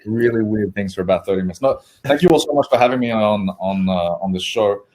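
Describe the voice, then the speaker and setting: A man with a very low-pitched voice, speaking as a guest on a live show over a video call. He explains his agency's work in a relaxed, rambling way, with many ums along the way. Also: fast. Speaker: guest co-host, agency co-founder. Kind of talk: casual business talk.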